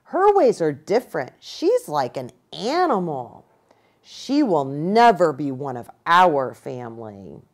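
Casting: woman reader playing cold, scornful storybook relative, American accent, blunt disapproval, deliberate pace, swinging intonation. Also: low voice, sing-song, voice pushed down, full character voice.

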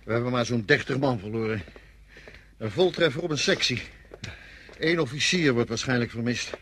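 An actor playing a soldier in a radio drama, reporting to his superior with hesitant, formal deference in a husky voice. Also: medium pitch, moderate pace, fairly bright tone, a little hiss or hum, natural voice. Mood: dutiful reporting. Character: male army subordinate reporting to his superior.

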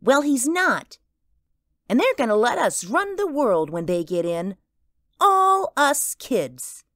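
A woman playing an earnest, excitable little girl with a secretive, menacing streak in an audio drama. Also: high voice, slow pace, no echo, clean studio sound, full character voice. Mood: earnest, boastful. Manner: childlike, earnest.

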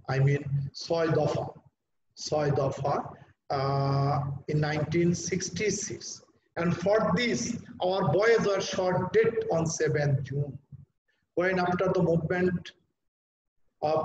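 Middle-aged bangladeshi guy teaching english class online, bit high voice, repeats himself for emphasis, echoey dull webcam sound off at a distance. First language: Bengali. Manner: emphatic, repeats phrases to explain. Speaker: college English lecturer. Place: indoor room. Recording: roomy, dull, noisy webcam audio.

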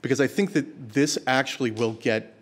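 A man in his thirties talks at a mid pitch, casual and halting, with plenty of ums. He speaks into a microphone in a large board meeting room. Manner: casual, hesitant, many fillers. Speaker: citizen giving public comment. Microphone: seated at a desk mic.

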